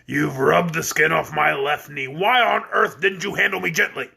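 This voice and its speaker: A reader voicing a surly, complaining talking goat with a gruff, low voice. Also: normal speed, bright, forceful tone, heavily acted. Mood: angry.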